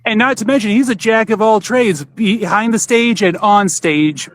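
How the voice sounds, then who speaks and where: A man's voice: high-pitched, moderate pace. A man hosting a podcast in a casual, conversational style. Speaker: podcast host.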